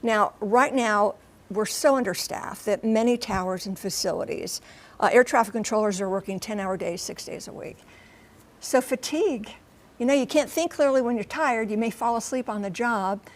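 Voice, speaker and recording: A woman's voice: a little high, normal speed. An American woman giving a conversational live TV news report as a correspondent, explaining things emphatically in short, clipped phrases. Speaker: TV transportation correspondent and pilot.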